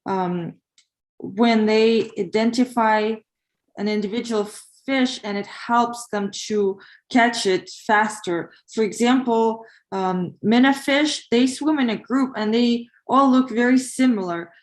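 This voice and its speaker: A woman's voice: fairly high pitch, echoey room. A woman from Ukraine, a pharmacist preparing for an English exam, speaking carefully with hesitant fillers. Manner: careful, measured, with hesitant fillers while answering. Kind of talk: practice exam answer and casual chat on a livestream.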